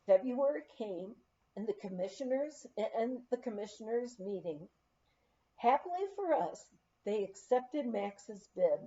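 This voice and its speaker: A woman reading a memoir aloud in an expressive, unhurried storytelling manner. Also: a little high, muffled recording. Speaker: audiobook reader.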